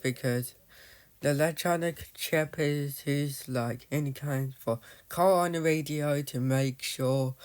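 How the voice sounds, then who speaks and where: A man giving casual, rambling commentary on his game, often losing his thread and calling out to viewers as "guys". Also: mid-range voice. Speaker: gamer narrating his play.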